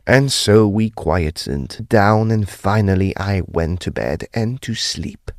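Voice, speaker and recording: An actor playing a polite, uneasy adult son who recounts a haunting in formal, old-fashioned, expressive storytelling for an audio drama. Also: male voice, lowish voice, takes it slowly, dry recording, clean studio sound.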